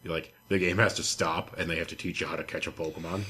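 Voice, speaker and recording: A man's voice: low-pitched, little pitch movement, fast, sharp tone. A young man co-hosting a podcast in casual gaming chat, sardonic and prone to profane rants. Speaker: podcast co-host.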